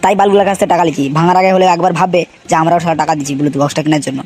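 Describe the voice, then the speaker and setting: A performer voicing an indignant, foul-mouthed young club member in a comic cartoon, complaining in rapid, crude slang. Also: medium pitch, a little mellow, faint music behind, natural voice. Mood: grumbling.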